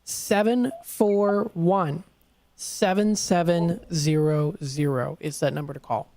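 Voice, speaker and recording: A man co-hosting a live call-in radio shopping show, friendly and hesitant, with many fillers, reading phone numbers out slowly. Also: fairly high pitch, fairly even intonation, darkish tone. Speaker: radio show co-host and vendor.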